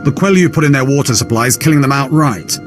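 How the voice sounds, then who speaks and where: A man's voice: mid-range voice, fast talker, music in the background, close to an everyday voice. A man voicing a callous, fussy, self-absorbed poison researcher in a video game. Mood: frustrated.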